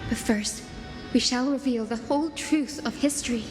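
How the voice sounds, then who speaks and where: Actress as high-voiced young gentle healer. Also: female voice, moderate pace, music in the background, close to an everyday voice. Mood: resolute. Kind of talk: scripted video game voice acting.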